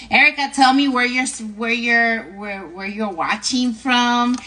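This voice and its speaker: A woman's voice: fairly high pitch, pushed and bright. A middle-aged woman from New York with a New York accent, chatting casually as she hosts a live stream of advice, often tagging her sentences with "right?" and "okay?". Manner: chatty, repetitive, tags lines with "right?" and "okay?". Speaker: host.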